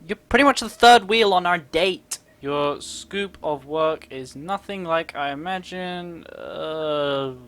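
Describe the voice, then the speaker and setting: A woman's voice: deep voice, takes it slowly, few pauses, fairly bright tone. A woman doing casual, playful and reactive commentary while playing a video game.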